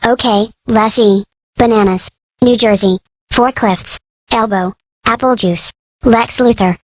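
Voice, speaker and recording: A woman's voice: on the high side, fairly even intonation, a little slow, no room sound. A woman voicing a naive, earnest, clueless little child in a comic dub. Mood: earnest, pondering. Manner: simple, naive. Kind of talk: amateur comic strip dub. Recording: slightly dull lo-fi audio.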